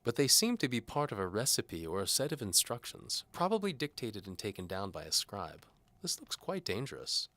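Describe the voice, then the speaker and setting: Man voicing a haughty, preachy old bishop, pitched up. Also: huge pitch swings, moderate pace, a bit bright, dry recording. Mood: didactic. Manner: didactic, pompous. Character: zealous, haughty older male medieval bishop, commanding and preachy. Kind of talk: audio drama character acting.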